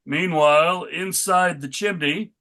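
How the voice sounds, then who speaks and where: A man narrating a live comic book read in a wry, deadpan way. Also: a little high, unhurried.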